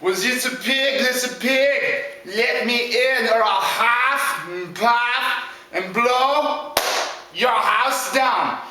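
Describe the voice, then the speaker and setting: Big bad storybook wolf, acted out by a man, hungry, menacing, drawn-out words, echoey room. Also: very high voice, sharp tone, distant mic, heavily acted. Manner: slow, drawn-out, menacing delivery.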